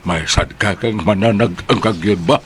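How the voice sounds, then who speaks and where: An actor with a Cebuano accent playing a friendly neighbour in a radio drama. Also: male voice, on the low side, normal speed, a little hiss or hum, close to an everyday voice. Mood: jovial. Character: friendly neighbour, a father himself.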